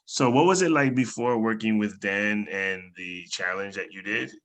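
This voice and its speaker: A man's voice: on the low side, normal speed, fairly bright tone. A man interviewing a guest, asking brief, upbeat questions in turn.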